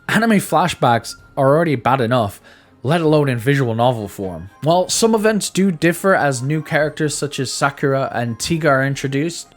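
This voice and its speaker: A man hosting a game review, reading narration with casual, informal asides and the odd repeated word. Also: medium pitch. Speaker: video game reviewer and channel host.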